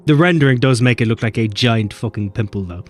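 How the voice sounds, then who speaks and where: Dude streaming a game, cracks crude jokes, soft music underneath. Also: male voice, medium pitch, a little fast. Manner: offhand, joking and profane. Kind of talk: casual live-stream commentary.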